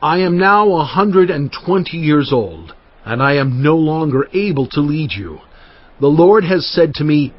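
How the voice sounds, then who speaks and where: A man's voice: a little high, expressive, measured pace. An actor playing an aged prophet and leader, solemn and fervent, addressing his people in a dramatized scripture reading. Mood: encouraging, firm. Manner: solemn, emphatic oratory. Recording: muffled audio with music underneath.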